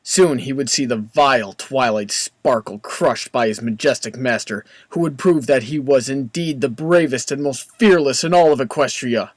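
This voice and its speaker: A man's voice: mid-range voice, moderate pace, few pauses, no echo, clean studio sound. A man reading scripted story narration as the narrator.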